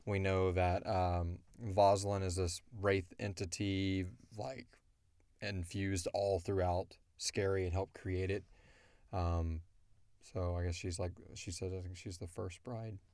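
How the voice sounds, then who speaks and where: A man hosting a question-and-answer show in an informal, emphatic and animated way. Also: low voice, slow, dry recording. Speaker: host and creator of an audio drama podcast. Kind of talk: casual chat answering listener questions.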